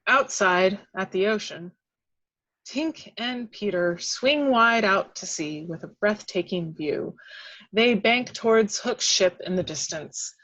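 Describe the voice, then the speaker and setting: A woman with a mid-range voice narrates the action of a script at a table read. She takes her time, telling it in a measured, dramatic storytelling way. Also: mid-range voice, a bit bright, poor audio. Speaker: reader of action descriptions.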